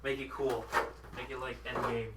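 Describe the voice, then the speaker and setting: A man's voice: medium pitch, level tone, normal speed, heavy background noise. A man co-hosting a podcast, chatting casually about games and anime in a giggly way. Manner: giggly, often laughing. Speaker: podcast co-host.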